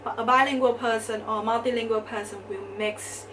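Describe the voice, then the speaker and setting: A woman's voice: a little high, fairly even intonation, normal speed, a bit bright, distant mic, a little hiss or hum. A young woman from Malaysia with a Malaysian English accent, chatting to camera in a casual student vlog and explaining things step by step. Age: young adult. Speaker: student vlogger.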